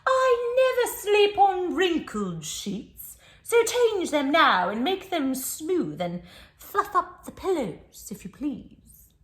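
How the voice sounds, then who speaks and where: A woman voicing a bossy, haughty kangaroo in a children's storybook, making drawn-out demands. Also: very high voice, very animated, voice pushed up, exaggerated voice. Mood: haughty, demanding. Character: bossy kangaroo demanding fresh sheets and fluffed pillows. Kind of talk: children's storybook read-aloud.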